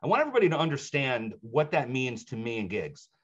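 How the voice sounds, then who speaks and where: A middle-aged agency leader introduces a speaker with heartfelt pride, using a plain mid-range voice. The recording is dull and lo-fi. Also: male voice, mid-range voice, very animated, fast talker, a bit bright, breathy edge. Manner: heartfelt, proud. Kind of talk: warm stage introduction.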